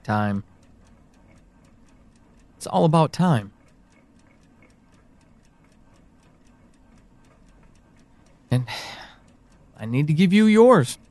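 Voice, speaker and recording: An actor playing an anguished, pleading, devoted man in an audio drama monologue, speaking haltingly and emotionally. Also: a little high, sing-song, a little mellow, dry recording. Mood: wry, resigned. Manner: halting, hesitant, emotional, with sighs and pauses.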